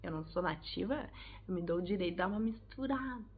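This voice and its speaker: A woman's voice: on the low side, lively intonation, quick pace, slightly rough. A woman from Brazil with a Brazilian Portuguese accent, giving chatty, direct advice to her viewers in a casual vlog. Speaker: vlogger, English teacher. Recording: tinny phone-like sound.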